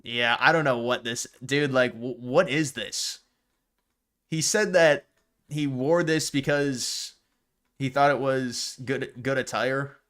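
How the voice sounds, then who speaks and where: A man's voice: medium pitch, slow pace, fairly bright tone. A man in the middle of a loose, casual live stream, talking over the clips he plays. He stumbles often, restarting his sentences and repeating words before he finds the point.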